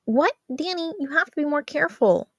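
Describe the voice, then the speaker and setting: A woman's voice: high voice, animated, brisk, no echo, clean studio sound, pitched above the performer's own voice. A woman voicing an anxious, caring teenage sister in a story, urgent and fretful as she scolds. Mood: worried, urgent.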